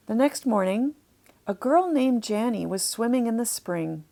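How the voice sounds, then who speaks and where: A woman reads a storybook for children. Her voice sits fairly high, and she takes her time, shaping phrases expressively and lingering on key words. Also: lively intonation, unhurried, creaky, mellow. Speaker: author reading a children's story.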